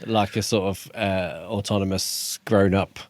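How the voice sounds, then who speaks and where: A man's voice: deep voice, steady tone, unhurried, no room sound. A man co-hosting a podcast and discussing a book in a casual, conversational style. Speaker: podcast co-host.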